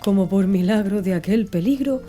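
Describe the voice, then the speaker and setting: A woman narrating a literary audiobook from a script, with measured, deliberate phrasing. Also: medium pitch, warm tone. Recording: music behind the voice. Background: music.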